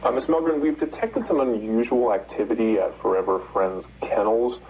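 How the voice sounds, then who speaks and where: A man's voice: medium pitch, animated, normal speed, lightly acted. A man playing a polite, cautious alarm company operator on a phone call. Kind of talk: naturalistic phone call acting. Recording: dull, hissy phone call.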